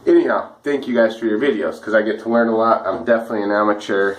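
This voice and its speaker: A man with a slightly rough, dry voice, chatting casually and a bit ramblingly while he shaves at home for his vlog. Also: lowish voice, quick pace, rough recording. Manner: easygoing, rambling, many fillers and restarts. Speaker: wet shaving vlogger. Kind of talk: casual talk while shaving.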